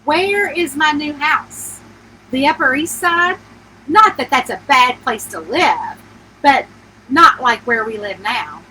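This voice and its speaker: A sarcastic, incredulous jilted wife from a storybook , played by a woman reader, speaks high and bright. Her delivery is emphatic and questioning, with faint noise behind it. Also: expressive, slow pace, heavily acted, low-quality recording. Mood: indignant.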